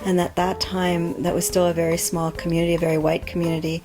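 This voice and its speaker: A woman's voice: fairly low pitch, flat delivery, fast talker, over music, rough recording. A middle-aged woman being interviewed as an author, answering thoughtfully with frequent ums and restarts. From Canada. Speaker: author guest.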